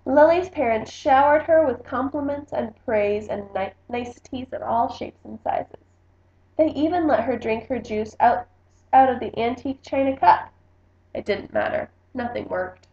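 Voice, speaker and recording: A woman reading a children's storybook aloud in an expressive narrating style. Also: on the high side, moderate pace, slight background noise. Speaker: book reader. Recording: echoey room, slightly muffled sound.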